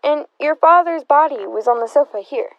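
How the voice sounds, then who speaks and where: A woman's voice: sits high, lively intonation, normal speed, vocal fry, dull audio, noisy background. A woman acting a nosy, sardonic interrogator in a radio drama, asking pointed questions in a wry, insinuating way. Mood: probing, skeptical. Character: nosy, sardonic, probing interrogator of a murder suspect's sister.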